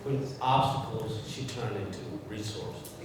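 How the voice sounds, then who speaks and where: A man's voice: on the low side, unhurried. An older man speaking at a memorial gathering, reminiscing informally and rambling, with frequent restarts. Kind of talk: informal spoken remembrance. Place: indoor gathering hall. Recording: echoey hall from far off, with clear background noise.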